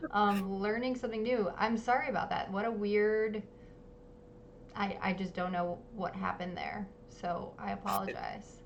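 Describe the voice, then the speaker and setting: A woman's voice: mid-range voice, steady tone, measured pace, gravelly, highs cut off, slight background noise. Chatty woman hosting a live stream. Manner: chatty, many ums, apologetic. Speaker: livestream interview host. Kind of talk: casual live-stream chat. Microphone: home streaming setup.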